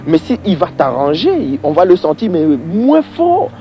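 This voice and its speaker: A man's voice: high-pitched, wildly swooping pitch, a little slow, warm tone, slight background noise. A man giving an informal, emphatic opinion monologue to viewers, conversational and prone to repeating his phrases for weight.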